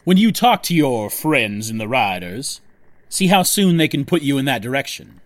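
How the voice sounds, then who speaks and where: A man's voice: medium pitch, very animated, moderate pace, no room sound, lightly acted. Guy acting a bossy schemer, audio drama, wry, swingy intonation, dry sound. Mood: commanding, sardonic. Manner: wry, commanding. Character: scheming, commanding male handler.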